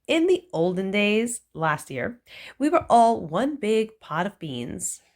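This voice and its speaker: A woman reads a children's picture book aloud in an unhurried, storytelling way. Her voice swoops up and down with playful emphasis on the punchlines. The pace stays gentle and deliberate throughout. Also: mid-range voice, big pitch swings.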